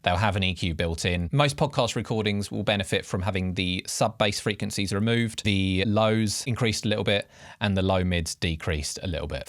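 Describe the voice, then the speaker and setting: A man's voice: low-pitched, no room sound, clean studio sound. A man presenting scripted tutorial narration in an informal, explanatory way, giving stress to key headings. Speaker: presenter of podcast production tips.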